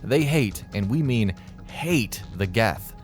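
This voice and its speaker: A man's voice: on the low side, lively intonation, slow pace, soft background music. A man reading scripted countdown narration in a wry, conversational way. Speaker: video narrator.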